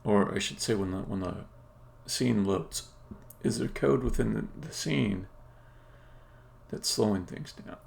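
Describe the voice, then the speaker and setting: A man with a very gravelly, fry-heavy voice talks through his work as a game developer on a live coding stream. He speaks in halting, half-muttered phrases, reasoning to himself. His pitch sits on the low side, with faint noise behind him. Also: darkish tone. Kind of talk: live-coding commentary, thinking aloud. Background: computer keyboard typing and clicking.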